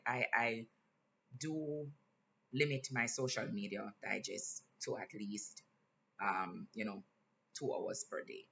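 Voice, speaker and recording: A man with a Jamaican accent talking in a casual vlog, earnest and candid, pausing often with fillers. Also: mid-range voice, creaky, sharp tone. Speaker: vlogger. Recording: slightly dull lo-fi sound, faint hiss.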